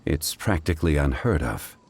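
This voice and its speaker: An actor playing a composed, wise gentleman in a video game, speaking in a formal, deliberate, eloquent manner. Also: a bass voice, big pitch swings, vocal fry, husky, full character voice. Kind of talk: video game character acting.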